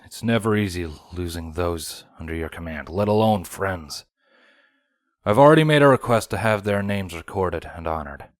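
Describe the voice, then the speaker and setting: A man voicing a grave, dutiful guard officer with a formal, measured manner in a fantasy story reading. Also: low-pitched, animated, no echo, studio quality. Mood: solemn, sympathetic.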